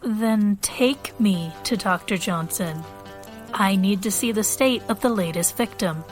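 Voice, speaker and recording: A woman's voice: medium pitch, unhurried, faint music behind, natural voice. An actress playing a demanding, authoritative woman investigator in an audio drama, clipped in her questioning.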